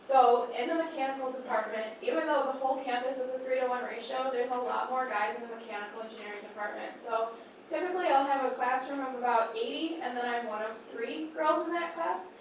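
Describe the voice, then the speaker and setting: Brief: high-pitched young woman from Michigan, student host, friendly, echoey and noisy room. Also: recorded from a distance. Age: young adult. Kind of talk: casual chat. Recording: echoey room, voice from off-mic, room noise.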